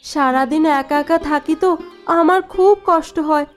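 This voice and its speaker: A woman voicing a gentle young new bride in a cartoon story, speaking softly and politely. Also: sits high, normal speed, soft background music, natural voice. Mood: dutiful. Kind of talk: cartoon voice acting.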